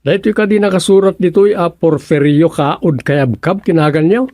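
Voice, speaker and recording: A man's voice: a little high, moderate pace, darkish tone, no echo, studio quality, close to an everyday voice. A man acting a patient but puzzled helper in a radio comedy, questioning and exclaiming in a northern Filipino accent. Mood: bemused. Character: puzzled, patient man helping a lost elder.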